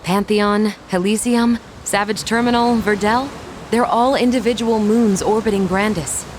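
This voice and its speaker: A woman voicing a calm female guide from another realm in a video game, explaining her world. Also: medium pitch, normal speed, background noise, lightly acted. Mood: calm, explanatory.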